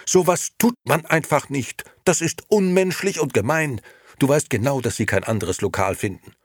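A man's voice: mid-range voice, animated, moderate pace, no echo, studio quality, pitched above the performer's own voice, lightly acted. A man voicing a brusque, indignant woman, an innkeeper's fiercely loyal wife, in a scolding audiobook character voice. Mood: outraged.

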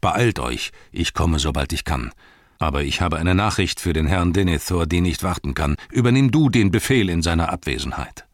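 Male narrator as old wizard, deep, dry close sound, weary, commanding, measured, fantasy audiobook. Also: expressive, no room sound. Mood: commanding, grave. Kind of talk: fantasy audiobook character reading.

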